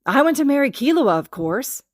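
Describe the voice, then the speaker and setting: A woman's voice: high voice, big pitch swings, normal speed, pitched above the performer's own voice. A woman voicing a cheerful, earnest, eager young male adventurer in an anime story, bold and guileless. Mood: proud, eager. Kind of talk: anime fan fiction character reading.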